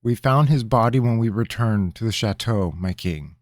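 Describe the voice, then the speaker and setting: A man's voice: lowish voice, animated, moderate pace, pitched above the performer's own voice, lightly acted. A nervous young apprentice mage answers a king with anxious deference, as a male narrator voices him. The voice is a little raised, and the close, dry recording has no echo. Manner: respectful, anxious pleading.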